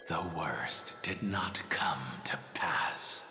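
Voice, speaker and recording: An actor playing a grave, world-weary man in a video game, speaking in a measured, theatrical way. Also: rumbling low, expressive, bright, forceful tone, in a whisper, exaggerated voice. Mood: grave, probing. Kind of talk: dramatic video game voice acting. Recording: long echoing reverb, faint music.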